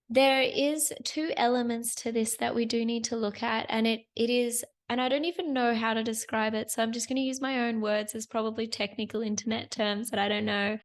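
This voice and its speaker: A young woman who has lost her voice, hosting a chatty solo business talk to the camera with repeated phrases. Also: on the high side. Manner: chatty, repeats phrases, lots of "you guys". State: hoarse, lost voice. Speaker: host.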